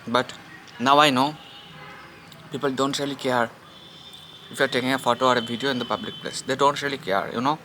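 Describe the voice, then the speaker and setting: A man's voice: mid-range voice, fairly even intonation, brisk, long pauses, pushed and bright, slight background noise, poor audio. Chatty Bengali man vlogging outdoors. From India. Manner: rambling, repeats himself, chatty asides to viewers. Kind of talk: casual vlog while eating outdoors. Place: public place outdoors.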